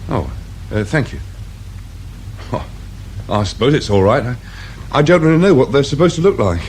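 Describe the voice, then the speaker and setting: An actor playing a polite, anxious English office worker with a hesitant, fussy manner in a British radio drama. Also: male voice, medium pitch, very animated, moderate pace, a little mellow. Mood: wary, nervous. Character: nervous, polite male office worker questioned by police. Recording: faint noise behind radio drama recording.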